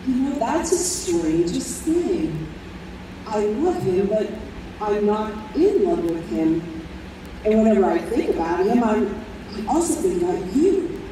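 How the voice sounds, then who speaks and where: An actress playing a warm, exasperated mother in her fifties in an old-time radio style stage play. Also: mid-range voice, sing-song, a little slow. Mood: tender. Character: warm, exasperated fifty-two-year-old smoker mother and office manager. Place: convention room stage performance. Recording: roomy live hall, faint background noise.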